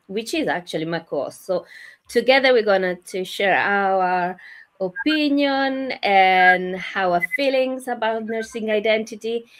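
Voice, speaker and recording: A woman from Italy with an Italian accent, hosting a live online chat over a video call in slightly halting English. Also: medium pitch. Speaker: host and career coach.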